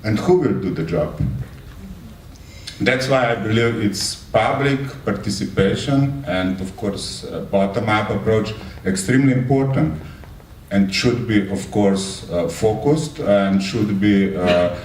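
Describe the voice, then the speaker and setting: A man speaking in an unscripted public address, halting and often hedging. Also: lowish voice, darkish tone, recorded from a distance. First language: not English. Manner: halting, hedging with frequent 'let's say'. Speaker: panel speaker. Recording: echoey room from afar, faint noise.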